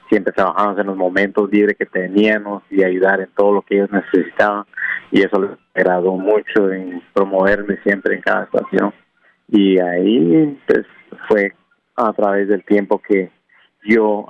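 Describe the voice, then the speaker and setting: A man from Guatemala, a native K'iche' speaker, recounts his path to owning a restaurant in a slow, pausing way full of fillers. His low, flat voice has a gravelly edge. He is heard over a dull, dry phone line. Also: no room sound. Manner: unhurried, hesitant storytelling with many pauses and fillers. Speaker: restaurant owner, guest. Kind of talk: phone interview.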